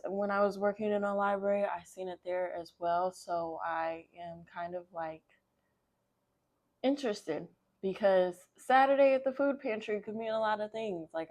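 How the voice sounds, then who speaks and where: A woman hosting a children's book read-aloud, chatting conversationally with rambling personal asides. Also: lowish voice.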